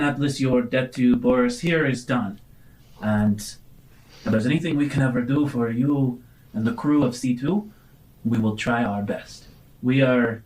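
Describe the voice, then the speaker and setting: A man playing an earnest, grateful scientist doctor in a tabletop space game, speaking in a formal, measured way. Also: medium pitch, darkish tone, lightly acted, low-quality recording.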